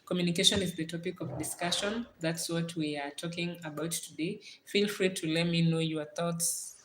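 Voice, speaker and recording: A Kenyan woman chats casually as a livestream host in a fairly low voice. She circles back over her phrases to stress them. The sound is echoey, with noticeable noise behind her. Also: normal speed. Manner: repeats phrases for emphasis. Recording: roomy echo, clear background noise.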